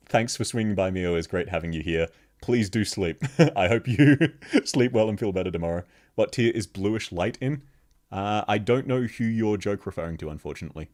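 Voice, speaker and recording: A man with a very deep bass voice, chatting casually with viewers on a live stream and laughing often. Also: darkish tone. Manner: laughs constantly, chatty, answers chat questions. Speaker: VTuber streamer. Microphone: streaming microphone, level shifts as he moves.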